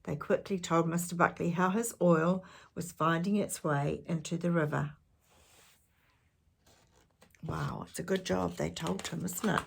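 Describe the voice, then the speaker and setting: A woman reads a picture book aloud to young listeners. Her voice sits lowish, husky and gravelly, and she slips from expressive narration into chatty questions for the children. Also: measured pace, long pauses. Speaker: children's storyteller. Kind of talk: read-aloud storytelling with chatty asides.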